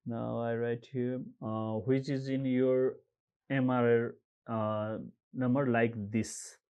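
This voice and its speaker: A man from Nepal walking viewers through an online form step by step, pausing often with uh and um as he reads out codes. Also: mid-range voice, flat delivery, dark tone, clear, resonant tone, dull audio. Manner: halting, frequent uh and um fillers, reads out codes slowly. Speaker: tutorial presenter. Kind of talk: screen-recorded how-to walkthrough.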